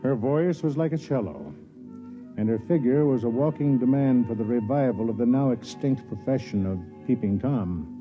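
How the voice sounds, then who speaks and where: A man acts as a seasoned space station chief in a vintage radio play, formal and weighty. His mid-pitched voice sits in a dull old recording with faint music. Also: normal speed, close to an everyday voice. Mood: reflective, disapproving. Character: older seasoned man running a space launch station, grave, measured. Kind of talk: 1950s radio drama acting and first-person narration.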